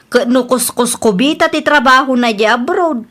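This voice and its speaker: A woman's voice: high voice, normal speed, fairly bright tone. A woman with an Ilocano accent playing a gossipy, prying in-law in a family audio drama. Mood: nosy.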